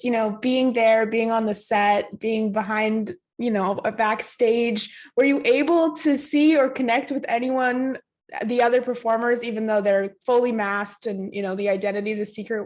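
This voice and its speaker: A woman's voice: a little high, fairly even intonation, normal speed, a little mellow. A woman interviewer asking loose, casual questions, filled with "like" and "you know".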